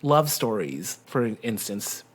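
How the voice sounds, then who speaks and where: A middle-aged man with an American accent, telling personal stories conversationally in an animated manner. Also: fairly low pitch, takes it slowly. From South Texas, USA. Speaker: guest co-host.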